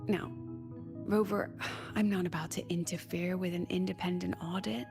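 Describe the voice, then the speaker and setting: An actress playing a weary, wry academy president in a video game, sly and chatty, talking conversationally with sighs and coy pauses. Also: female voice, on the low side, fairly even intonation, creaky. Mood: businesslike. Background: background music.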